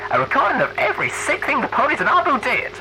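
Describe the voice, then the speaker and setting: A man's voice: extremely high pitch, huge pitch swings, quick pace, background noise, pitched above the performer's own voice, exaggerated voice. A man voicing an indignant old rustic grandfather pony with a strained voice and an emphatic, cantankerous manner.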